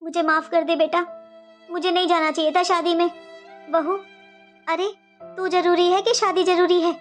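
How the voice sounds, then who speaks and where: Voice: extremely high pitch, flat delivery, moderate pace, faint music behind. A performer voicing an anxious, morally stern middle-aged mother in a cartoon moral story, emotional and reproachful. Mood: remorseful. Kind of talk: animated moral story dialogue.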